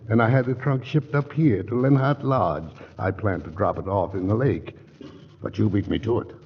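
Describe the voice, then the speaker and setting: An actor playing a scheming male lawyer who has turned murderer in a 1940s radio play, smooth and wheedling. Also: lowish voice, moderate pace, slight background noise, lightly acted. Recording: muffled 1940s radio broadcast.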